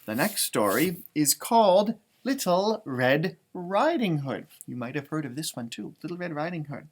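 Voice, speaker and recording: A man hosting a children's storybook read-aloud, expressive and playful, adding chatty asides and wondering aloud. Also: fairly high pitch, normal speed. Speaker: storytime read-aloud host, music teacher.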